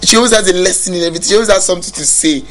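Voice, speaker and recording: Reflective Nigerian man, a little high. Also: rapid-fire, fairly bright tone, soft background music. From Nigeria (Bayelsa State). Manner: reflective, often restarts sentences, laughs easily. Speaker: musician guest. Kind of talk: casual interview talk.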